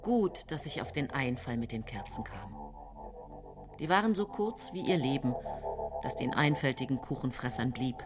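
An actress playing a middle-aged poisoner who narrates her scheming in a measured, literary way in a radio drama. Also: very deep voice, big pitch swings, music in the background. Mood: smug. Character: middle-aged woman poisoner, scheming, self-justifying, outwardly composed.

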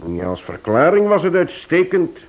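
Shrewd police chief, muffled recording. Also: male voice, fairly high pitch, sing-song, normal speed. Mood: pondering. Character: shrewd, genial British police chief, a knighted man. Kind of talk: Dutch-language radio drama acting. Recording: dull, lo-fi old radio play.

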